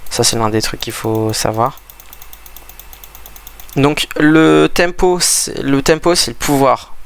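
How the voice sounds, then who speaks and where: Gravelly man with a head cold, rambling. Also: mid-range voice, slow. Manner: rambling, hesitant, many restarts. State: stuffy from a cold. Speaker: gaming video creator. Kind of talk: casual gameplay commentary.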